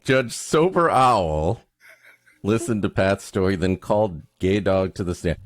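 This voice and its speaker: A man's voice: deep voice, animated, unhurried, no room sound, clean studio sound. A man co-hosting a podcast, mixing story reading with wry, casual comic riffs. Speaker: podcast co-host.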